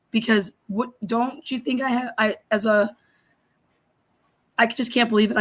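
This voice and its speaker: A middle-aged woman hosting a casual livestream, hoarse and raspy, reading viewer comments and ranting bluntly with lots of swearing. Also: medium pitch, poor audio. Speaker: livestream host.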